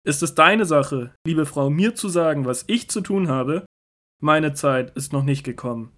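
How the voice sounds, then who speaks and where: A man's voice: on the high side, animated, a little slow, fairly bright tone. A man acting a commanding, firm male teacher in a scripture audio drama, speaking in an emphatic, declamatory way. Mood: calm, firm.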